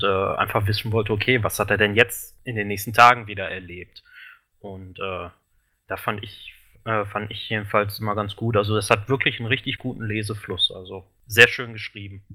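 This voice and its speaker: A man talks earnestly in a casual podcast chat, and his thoughts spill out in long, rambling runs full of fillers. His voice sits a little low but has a bright, forceful edge.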